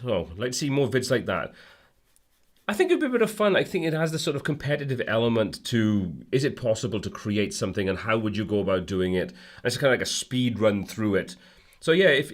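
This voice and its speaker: Man, slightly low voice, hoarse from a cold, rambling, friendly livestream host. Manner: rambling, friendly, many fillers and restarts. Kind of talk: casual livestream chat reading viewer comments.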